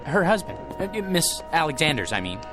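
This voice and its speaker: An actor playing a meek, devoted husband who stammers and hesitates, in a radio drama. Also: male voice, a little high, big pitch swings, a little fast, music in the background.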